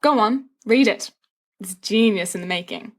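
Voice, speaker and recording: A woman's voice: mid-range voice, normal speed, close to an everyday voice. A woman voicing a mischievous, dramatic teenage wizard schoolboy with a teasing, theatrical delivery in an audiobook-style story. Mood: mock-dramatic. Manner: theatrical, teasing, flowery speech.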